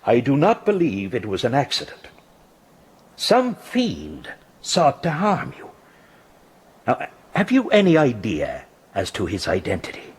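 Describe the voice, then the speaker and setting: An actor as a very husky, courteous magistrate. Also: male voice, mid-range voice, wildly swooping pitch, full character voice. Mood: measured, probing. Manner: formal, deliberate, articulate phrasing. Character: courteous, reasonable male magistrate, formal and sympathetic. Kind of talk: radio drama acting.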